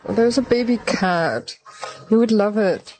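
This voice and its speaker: A soft-spoken woman from Germany, an activist, recording casual personal voice notes on her phone. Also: mid-range voice, lively intonation, a little slow, a little hiss or hum, poor audio. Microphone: phone voice note.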